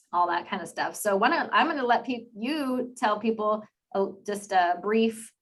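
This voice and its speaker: A woman hosts a relaxed interview with a reader, talking in an easy, chatty way. She sounds encouraging and uses plenty of fillers as she goes. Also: lowish voice. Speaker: interview host. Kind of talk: casual interview.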